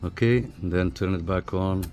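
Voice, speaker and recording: A man giving a casual hands-on equipment review in a halting, informal, explanatory way. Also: low voice, darkish tone, soft background music. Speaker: radio hobbyist reviewer. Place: small apartment room.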